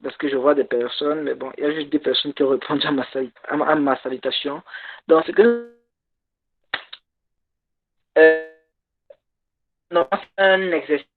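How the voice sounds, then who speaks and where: A man whose first language is English, giving a workshop talk in halting French over a video call and pausing to search for words. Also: fairly high pitch. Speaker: conference speaker. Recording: tinny phone-like call audio.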